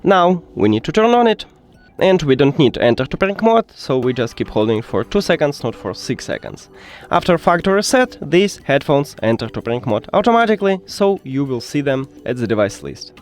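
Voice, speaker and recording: Man with lively, swooping pitch. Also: brisk, faint music behind. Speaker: tech tutorial host. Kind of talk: step-by-step instructional narration.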